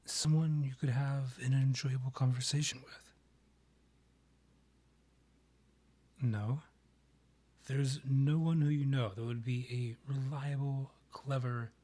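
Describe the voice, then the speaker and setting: A proud vampire king played by a man in a romantic fantasy audio roleplay. His mid-pitched voice is soft-edged and breathy. He speaks in formal, measured phrases with an arch, condescending air, as a rival and former lover. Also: steady tone, close to an everyday voice. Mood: coaxing, exasperated. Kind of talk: scripted romantic roleplay ASMR audio drama.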